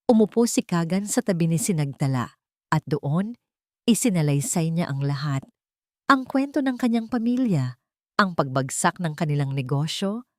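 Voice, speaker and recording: A woman's voice: on the low side, dry recording, clean studio sound. A woman narrating a scripted dramatic story as a storyteller.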